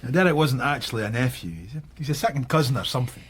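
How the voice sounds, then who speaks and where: An actor playing a weary middle-aged police detective in a radio drama, with a Scottish accent and a dry, wry manner. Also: male voice, mid-range voice, animated, rapid-fire, slight background noise, close to an everyday voice.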